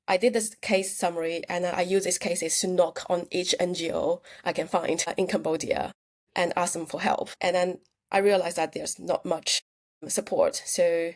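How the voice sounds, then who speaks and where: Lower-voiced woman with a Chinese accent. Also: steady tone, moderate pace, darkish tone. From China. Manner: earnest, plain phrasing with restarts. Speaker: researcher and guest. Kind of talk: interview answers.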